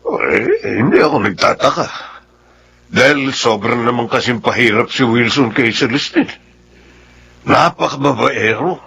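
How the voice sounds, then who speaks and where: An actor playing a frank, sympathetic husband in a Filipino radio drama, speaking with a Filipino accent. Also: male voice, medium pitch, animated, measured pace, vocal fry, sharp tone, husky, exaggerated voice. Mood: frank, concerned. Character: chatty, sympathetic middle-aged husband, frank and good-humored.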